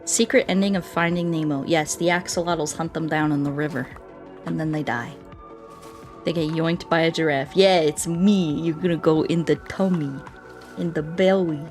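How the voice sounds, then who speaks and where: Low-pitched, playful woman streamer. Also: steady tone, moderate pace, darkish tone, faint music behind. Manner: playful, scatterbrained, repeats words and sing-songs nonsense. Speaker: video game streamer. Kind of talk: casual live game commentary, chatting with viewers.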